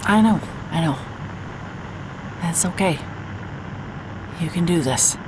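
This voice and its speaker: A woman's voice: on the low side, animated, slow, background noise. An actress playing a weary, wry, guarded woman investigator in an audio drama, speaking in a hesitant, dry way. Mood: firm, urging.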